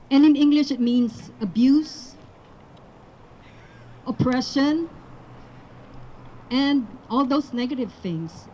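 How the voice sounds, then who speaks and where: Filipina woman, native Tagalog speaker, high voice, earnest rally speech building to emphatic appeals, faint background noise. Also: mellow, low-quality recording. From Philippines. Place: outdoor rally.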